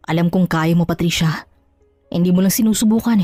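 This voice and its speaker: Woman putting on an earnest, lower-voiced male friend. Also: a little fast, mellow, dry recording, clean studio sound, soft background music, close to an everyday voice. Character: earnest, forgiving male friend, gently persuasive. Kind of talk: Tagalog audio drama acting.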